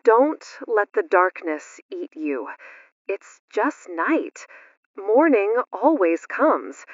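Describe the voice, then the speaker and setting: A woman acting as a self-reliant female survivalist in a radio drama, calm and stubborn. She speaks slowly with a very mobile pitch, in a dull, dry lo-fi recording. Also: no echo, exaggerated voice. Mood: calm, resolute. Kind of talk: radio disaster drama, listener call-in.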